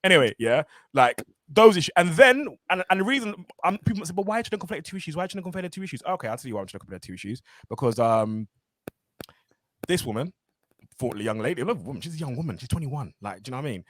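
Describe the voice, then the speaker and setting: A man hosts a podcast in a loose conversational way, thinking out loud and circling back on his words. His voice swings up and down a lot and moves quickly. The recording is dry and close. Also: a little high, no echo. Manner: animated, repeats himself, thinks aloud. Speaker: podcast host. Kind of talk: casual conversational interview.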